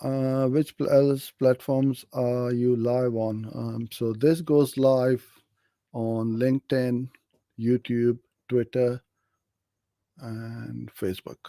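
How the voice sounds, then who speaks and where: Middle-aged guy from pakistan, super mellow dark voice, dry close sound, chatty live q&a host. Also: medium pitch, level tone, takes it slowly, no room sound. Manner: conversational, practical, friendly and direct. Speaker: host answering viewer questions. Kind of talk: live Q&A on online advertising.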